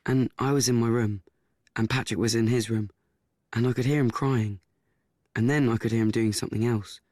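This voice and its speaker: An actor playing an awkward, anxious teenage son, narrating and talking in a radio play. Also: male voice, mid-range voice, fairly even intonation, normal speed, darkish tone, no echo, clean studio sound, close to an everyday voice. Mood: confiding.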